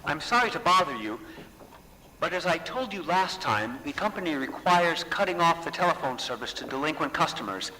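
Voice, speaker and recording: Bright, apologetic phone company man. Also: on the high side, sing-song, moderate pace, rough recording. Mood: apologetic, awkward. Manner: polite, apologetic, hesitant.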